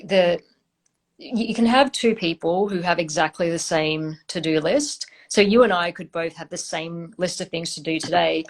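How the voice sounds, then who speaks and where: A low-pitched woman speaks as an interview guest on a video call. She answers thoughtfully and steadily, pausing on "um"s as she gathers her thoughts. Her delivery stays measured rather than rushed. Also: creaky. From Australia. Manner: thoughtful, measured, with hesitant fillers. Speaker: startup founder, guest.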